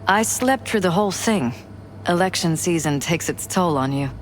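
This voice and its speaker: An actress playing a poised, skeptical, weary politician's wife in a video game, composed and dryly matter-of-fact. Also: female voice, on the low side, animated, normal speed, a little hiss or hum, natural voice. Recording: music behind.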